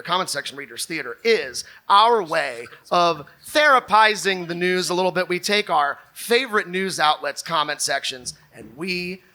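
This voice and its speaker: A man's voice: high-pitched, bright, forceful tone. A man hosting a live comedy show, joking through banter and theatrical readings of online comments. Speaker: comedy show host and performer.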